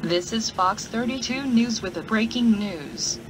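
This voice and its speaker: A woman playing a TV news anchor, reading a scripted bulletin in a flat, measured way. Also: on the high side, background noise, natural voice.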